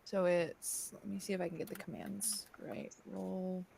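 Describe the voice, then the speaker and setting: A woman's voice: lowish voice, fairly even intonation, measured pace, gravelly, dark tone. A woman chatting casually as a player in a tabletop role-playing game.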